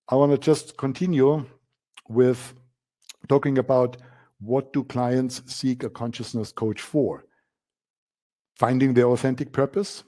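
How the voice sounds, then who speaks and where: Male coaching instructor, German accent, mellow warm tone, emphatic delivery with repeated key phrases. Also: medium pitch. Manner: emphatic, repeats key words, frequent fillers. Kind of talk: masterclass presentation.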